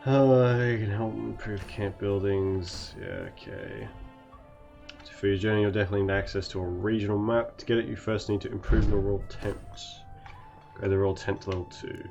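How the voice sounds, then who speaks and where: A man streaming a video game, sick with a gravelly voice, casually chatting and reading tutorial text aloud. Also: on the low side, steady tone, normal speed, darkish tone, lo-fi sound, soft background music. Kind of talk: casual let's-play commentary.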